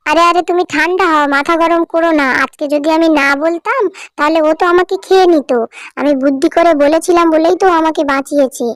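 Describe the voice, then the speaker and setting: A woman's voice: very high-pitched, fairly even intonation, brisk, dry recording, studio quality. A woman voicing a clever little mother sparrow in a cartoon, coaxing and persuasive. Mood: soothing, relieved. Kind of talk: cartoon voice acting.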